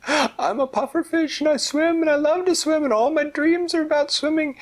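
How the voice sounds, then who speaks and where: A man voicing a small, frightened female pufferfish in a storybook tale, high and breathy. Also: moderate pace, noisy background. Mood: distressed.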